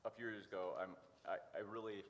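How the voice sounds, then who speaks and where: A man being interviewed as a guest, speaking informally in a halting, hesitant way with many pauses. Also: fairly low pitch, steady tone. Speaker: interview guest, church worker. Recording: echoey hall, noticeable background noise.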